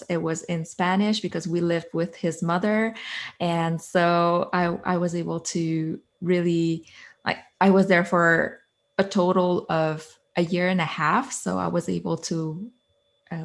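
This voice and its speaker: A woman's voice: fairly low pitch, little pitch movement, unhurried. A woman in her thirties from Quebec with a Quebec French accent, a native French speaker, earnestly telling her story as an interview guest. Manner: earnest, chains thoughts with "so" and "like". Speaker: guest, author and coach. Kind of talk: conversational interview.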